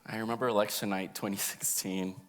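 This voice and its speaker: A man's voice: fairly low pitch, level tone, brisk, faint music behind. A young man reading an autobiographical monologue aloud in an earnest, emotive storytelling way. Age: young adult.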